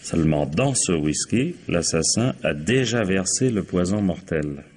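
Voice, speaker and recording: Low-voiced, sardonic police inspector. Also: male voice, expressive, measured pace, a little mellow, husky, exaggerated voice. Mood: assured, deliberate. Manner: brusque, ironic, authoritative. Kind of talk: French radio crime drama acting.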